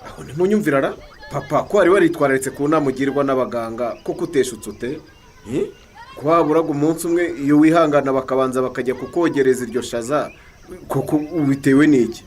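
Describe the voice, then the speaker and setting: Radio drama bit part: male actor as adult son, probing and quick, mid-pitched natural voice. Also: close to an everyday voice. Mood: concerned, probing. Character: grown son questioning his father.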